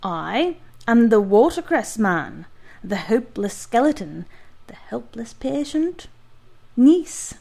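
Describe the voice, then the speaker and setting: A woman voicing a huge, jovial and grateful male giant who talks loud and exuberant in a read-aloud story. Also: a little high, very animated, slow, gravelly, exaggerated voice. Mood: boastful.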